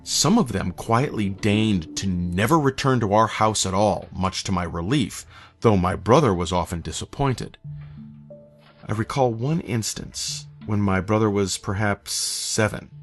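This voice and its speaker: A man reading a scripted first-person horror story in a measured, somber, literary manner. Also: on the low side.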